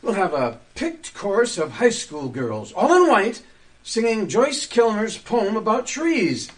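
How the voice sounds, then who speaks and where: Excitable, high-pitched small-town promoter played by a man. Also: measured pace. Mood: excited. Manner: exclamatory, showy, hustling. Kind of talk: community radio theater acting.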